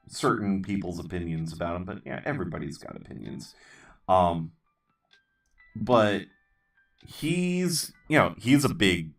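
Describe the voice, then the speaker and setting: Slow, very low-voiced man, distant mic. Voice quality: voice others call good for voiceover. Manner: halting, many ums and restarts, self-deprecating jokes. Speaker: channel host. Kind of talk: casual rambling chat while building.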